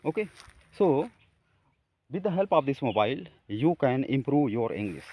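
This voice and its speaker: A man from India with an Indian accent, a Hindi speaker, talking haltingly to camera about practicing English, with many fillers and restarts. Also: mid-range voice, sing-song, dark tone. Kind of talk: casual talk to camera.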